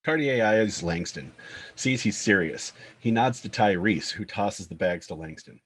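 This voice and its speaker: A man's voice: fairly low pitch, animated, unhurried, fairly bright tone, husky. A man reading screenplay stage directions as scripted narration.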